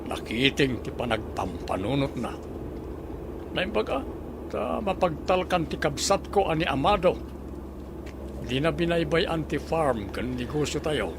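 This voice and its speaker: A man's voice: fairly high pitch, sing-song, measured pace, vocal fry, background noise, heavily acted. An actor with an Ilocano Filipino accent playing a resolute middle-aged father set on going home, in a radio drama. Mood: gentle, reflective.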